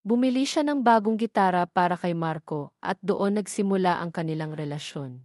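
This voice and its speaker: A woman's voice: lowish voice, normal speed, darkish tone, dry recording, clean studio sound. A woman delivering scripted narration for an audio drama story.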